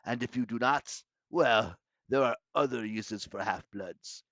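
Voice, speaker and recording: A man's voice: mid-range voice, a bit bright, dry recording, highs cut off. A man voicing a menacing monster in disguise, pompous and condescending, in a storybook reading. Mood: gloating menace. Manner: pompous, condescending, deliberate villain delivery. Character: menacing, gloating male monster disguised as a schoolmaster.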